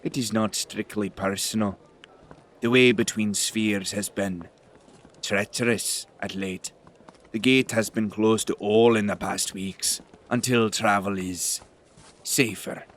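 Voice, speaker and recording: Guy acting as old elf gatekeeper in an audio drama, lowish voice, cold n formal, haughty, takes his time. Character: stern elderly male elf gatekeeper, cold and authoritative.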